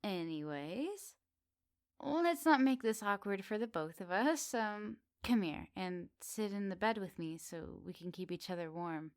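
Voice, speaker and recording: A woman playing a flirty, teasing witch in a soft, intimate ASMR roleplay. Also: mid-range voice, lively intonation, no room sound, clean studio sound. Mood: flustered, shy. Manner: soft, teasing, giggly, unhurried. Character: teasing, flirty, lonely witch in a woodland cottage.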